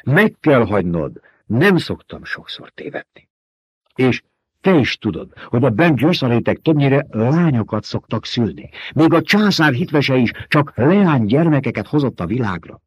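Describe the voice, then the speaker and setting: A man voicing a scheming, gluttonous older baron in an audiobook, mocking and theatrical, with booming emphasis, in a dry, close recording. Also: sing-song, breathy edge, no room sound. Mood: taunting. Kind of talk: audiobook character voice.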